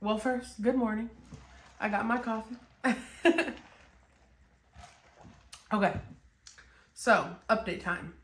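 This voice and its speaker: A woman's voice: on the high side, slow, long pauses. Young woman, casual upbeat vlogger, slightly congested, echoey home room. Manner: chatty, rambling, upbeat, frequent "like" and "um". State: congested from a cold. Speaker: small business owner and crochet vlogger. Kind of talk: casual chat to camera.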